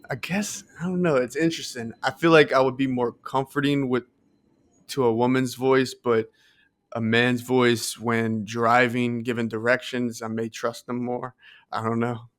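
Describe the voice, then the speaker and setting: A man co-hosting a podcast in casual discussion, measured and tentative as he thinks out loud. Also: mid-range voice, clear, resonant tone. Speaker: podcast co-host.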